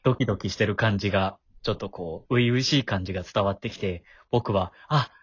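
A man's voice: fairly low pitch, a little fast, husky, no echo. A man who works as a voice actor and writer, chatting casually and full of compliments about a voice drama. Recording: dull lo-fi audio.

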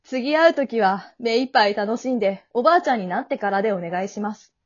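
A courteous, kindly reaper played by a woman guides a soul in an amateur radio drama. The voice sits fairly high and stays businesslike yet earnest and reassuring. The recording is a little dull and lo-fi. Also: expressive, quick pace. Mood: gentle, reassuring. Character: polite, kindly male guide of the afterlife, secretly an older brother.

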